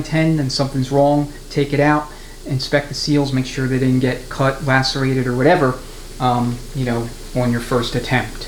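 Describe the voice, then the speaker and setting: A man with a mid-to-low voice walks through a hands-on repair job like a shop instructor. He is methodical and plain-spoken, laying out each step as he works. The room rings with echo, and there is clear noise behind him. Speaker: transmission mechanic giving a how-to demonstration. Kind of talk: hands-on workshop tutorial, unscripted explanation. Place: indoors at a transmission workbench.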